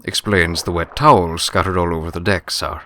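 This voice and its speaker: A man's voice: low-pitched, fairly even intonation, moderate pace, bright, forceful tone. A man voicing an elderly earth pony manservant in a storybook, deferential, folksy and plain-spoken. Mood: polite, wry. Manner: plain-spoken, polite, understated.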